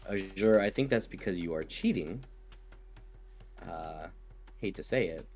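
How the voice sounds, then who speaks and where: A man's voice: sits low, expressive, a little slow, a little mellow, faint music behind. A man with a cold co-commentating a strategy game match, upbeat and chatty. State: sick with a cold, deeper voice than usual. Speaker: co-caster.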